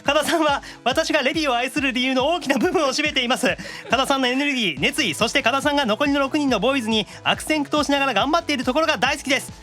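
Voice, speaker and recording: Kansai guy hosting a podcast, talks fast n lively, teasing, music behind. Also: extremely high pitch. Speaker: podcast host and voice actor. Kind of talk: lively podcast chat and letter reading.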